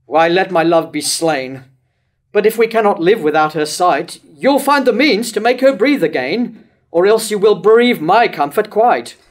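A man's voice: sits high, lively intonation, normal speed. An older man playing a wavering, lovesick king in a declamatory staged reading of verse tragedy. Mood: anguished. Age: older, about 60s to 70s. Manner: declamatory, rhetorical questioning. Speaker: actor reading Herod in a staged play reading. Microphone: stand microphone at a lectern.

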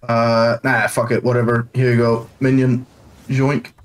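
A man's voice: mid-range voice, level tone, measured pace, rough recording. A man from Denmark playing a card game over voice chat, sarcastic and self-mocking. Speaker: streamer, duo partner. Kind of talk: casual gaming chat.

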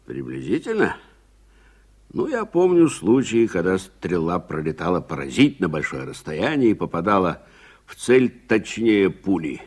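An actor playing a proud, gruff old frontier businessman in a radio play, speaking brusquely and emphatically. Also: sits low, wildly swooping pitch, normal speed, exaggerated voice. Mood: confident, expert. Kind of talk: Soviet radio play acting.

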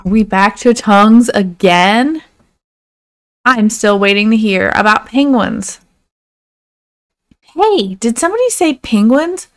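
A woman voicing silly, excitable animals with a gushing, easily confused manner in a children's storybook. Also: fairly high pitch, slow, long pauses. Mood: curious.

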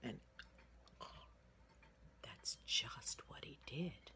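A woman in her sixties telling a children's story in a dramatic, folksy way with emphatic pauses. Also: bright, forceful tone, whispered. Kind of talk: animated oral storytelling for children. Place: indoor room with wooden ceiling. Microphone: phone at arm's length.

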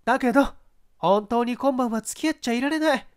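A man voicing a proud, mocking glamorous actress in a flippant, coquettish, feminine manner for a story reading. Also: brisk. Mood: breezy, coaxing. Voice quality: mezzo-soprano pitch, feminine. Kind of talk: dramatic audiobook character reading.

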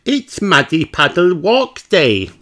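A man voicing an excited, eager little girl piglet in a children's storybook. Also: fairly high pitch, slow, voice pushed up, heavily acted. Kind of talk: children's storybook read-aloud.

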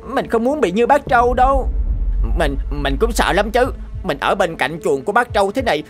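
Lady doing worried cartoon cow, medium pitch, fretful and dramatic, faint music behind. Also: sing-song, moderate pace, lightly acted. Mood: fearful. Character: timid, anxious young cattle fretting over danger. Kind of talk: animated fairy-tale dubbing.

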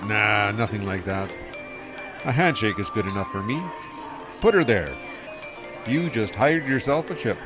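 A man's voice: on the low side, expressive, measured pace, pauses often, over music. An actor playing an easygoing young male starship pilot who talks in a casual, laid-back way in an audio drama.

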